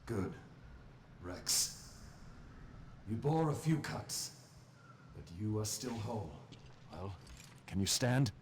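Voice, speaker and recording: An actor playing a weary, compassionate knight captain with a commanding manner in a fantasy video game. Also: male voice, fairly low pitch, lively intonation, slow pace, long pauses, slight background noise. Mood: grave concern. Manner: grave, concerned, commanding. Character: weary, compassionate male knight captain, protective of young soldiers. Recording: quiet game audio under music.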